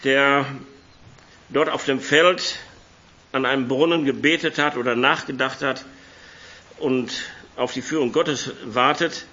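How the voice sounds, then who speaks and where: Male preacher with a hoarse voice, bright and forceful, slightly muffled lo-fi sound; conversational sermon with scripture reading. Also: mid-range voice, fairly even intonation, a little slow, long pauses. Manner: conversational, reads scripture aloud. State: hoarse from a cold. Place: church hall.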